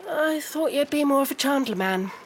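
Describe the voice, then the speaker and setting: A woman's voice: sits high, expressive, moderate pace, slight background noise. An actress playing a refined, determined, anxious wife of a missing man in a 1930s noir audio drama, formal and articulate. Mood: worried, earnest. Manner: formal, articulate, clipped politeness. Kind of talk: scripted period noir audio drama acting.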